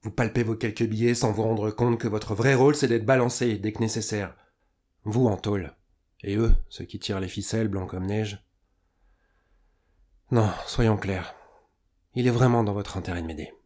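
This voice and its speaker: A man playing an insistent police inspector, measured and dryly sarcastic, in a French audio drama. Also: lowish voice, steady tone, darkish tone, close to an everyday voice. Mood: sardonic, insistent.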